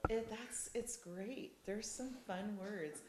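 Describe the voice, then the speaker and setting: A woman from the United States, chatting casually in an interview, with many ums and lively storytelling. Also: mid-range voice, takes it slowly, echoey room, crowd noise. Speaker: interviewee, rider's partner.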